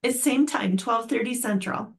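A woman's voice: on the high side, normal speed, creaky. An older woman hosting an online class, making friendly, chatty announcements over a video call from a home office. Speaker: program host.

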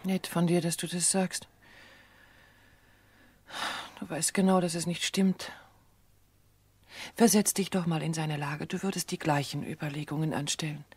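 Worried daughter, low husky voice, fearful, insistent, dry radio drama. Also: female voice, level tone, normal speed, darkish tone, dry recording. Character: anxious grown daughter under suspicion of murder.

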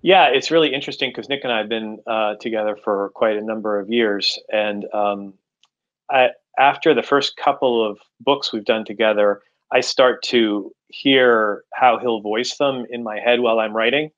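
A middle-aged man answering interview questions as an author, halting and repeating words as he explains, on a video call. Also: lowish voice. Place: indoors at home, room with bookshelves, on a video call. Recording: slightly dull video-call audio.

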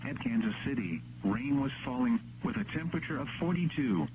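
A synthetic male announcer reading scripted weather bulletins in a measured, impersonal text-to-speech delivery. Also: mid-range voice, sing-song, darkish tone. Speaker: automated weather radio announcer. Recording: noisy radio broadcast with hum.

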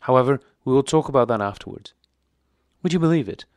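A man's voice: medium pitch, lively intonation, quick pace, darkish tone, dry recording, voice pushed up, close to an everyday voice. A man voicing an effusive, confiding aristocratic woman in an audiobook reading. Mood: eager. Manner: effusive, chatty.

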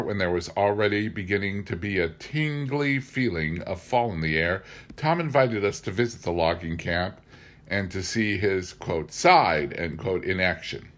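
A middle-aged man narrating a memoir as a scripted reading, with measured, deliberate phrasing. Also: low-pitched. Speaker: amateur audiobook reader.

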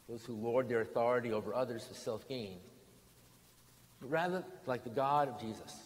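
An older American man, a bishop, preaching a sermon in deliberate, emphatic phrases with pauses and repetition. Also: fairly high pitch. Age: 60s or older. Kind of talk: sermon with storytelling. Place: large stone church interior, at lectern. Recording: reverberant church hall, background noise.

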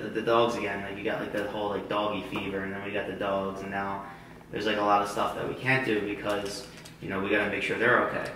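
Man, 22, bright tone, halting and rambling; recorded far off on a hidden camera in a very echoey room. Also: on the low side, a little hiss or hum. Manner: hesitant, rambling, many "like"s and restarts. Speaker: vlogger's boyfriend. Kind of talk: casual chat. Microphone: hidden camera across the room.

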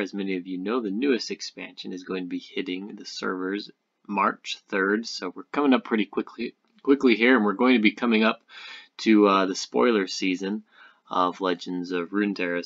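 A man's voice: sits low, a bit bright, dull audio. A man hosting a gaming video with casual, rambling news and speculation commentary. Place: indoor voice-over on screen recording.

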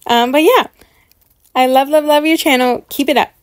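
Casting: female reaction host, high voice, excitable and gushing, chatty delivery, dry close sound. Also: moderate pace, no echo, soft background music. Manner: gushing, excitable, repeats words for emphasis. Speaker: host of a reaction video series. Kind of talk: casual chatty reaction commentary.

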